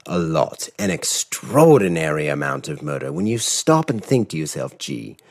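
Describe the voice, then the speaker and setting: A man playing a suave, exasperated secret agent in a cartoon parody, speaking in a clipped, disdainful deadpan. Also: rumbling low, normal speed, heavily acted. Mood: coldly exasperated. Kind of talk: animated spy parody voice acting.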